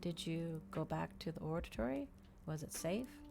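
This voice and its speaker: A woman's voice: low-pitched, expressive, moderate pace, vocal fry, darkish tone, slight background noise. A woman voicing a curious young male interviewer in a storybook reading, eager and questioning.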